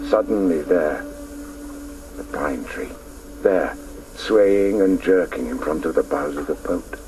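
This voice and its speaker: An actor playing a reflective grown man who recalls his boyhood in lyrical, intense radio play narration, at times hushed. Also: extremely low pitch, noisy background, full character voice. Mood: intense, awed.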